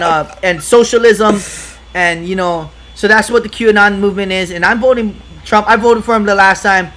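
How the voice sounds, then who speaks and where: A man from Hawaii talking in a casual podcast chat, excitable and profane, rambling from one point to the next. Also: sits high. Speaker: podcast guest.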